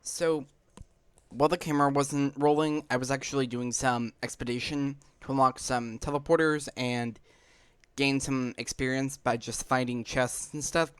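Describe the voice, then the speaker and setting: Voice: mid-range voice, fairly even intonation, moderate pace, smooth, no echo. A gaming video host giving casual, informal, explanatory commentary while playing. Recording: game music behind the voice. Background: video game music.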